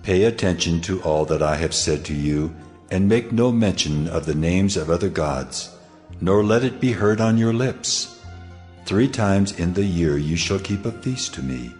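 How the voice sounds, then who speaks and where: A man playing God as a solemn, commanding lawgiver in a dramatized audio Bible, speaking in measured, authoritative pronouncements. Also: sits low, animated, darkish tone. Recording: music under the voice.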